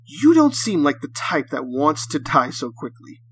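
A man voicing a troubled, hesitant would-be killer in a story, speaking in a measured, probing way. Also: fairly high pitch, wildly swooping pitch, slight background noise. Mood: controlled, probing. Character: bereaved, hesitant man with a gun, seeking a kill.

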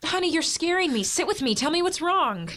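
A woman's voice: very high-pitched, sing-song, a little fast, a bit bright, slight background noise, heavily acted. An actress in an audio drama playing a harried, caring working mother with a frank, conversational, wry manner. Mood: worried.

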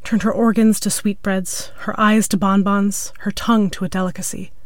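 A woman's voice: mid-range voice, little pitch movement, moderate pace, dry recording, clean studio sound. A woman reading a piece of scripted literary fiction as its narrator. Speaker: story narrator.

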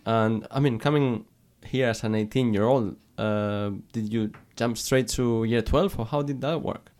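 A man's voice: mid-range voice, measured pace, darkish tone. A man interviewing a guest, asking questions with some hesitation and fillers. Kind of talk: interview conversation.

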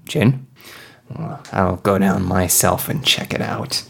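A man playing an excitable, bossy space pirate captain who barks orders in a tabletop game. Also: deep voice, slow, vocal fry, breathy edge, heavily acted. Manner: barking orders.

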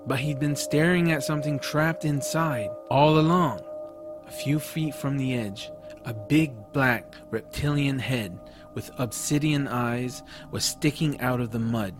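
A man's voice: medium pitch, unhurried, music in the background, natural voice. A man playing an earnest, kind-hearted adventurous boy who narrates his own story in an audio drama. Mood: thoughtful, suspenseful. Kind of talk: first-person audiobook narration with dialogue.

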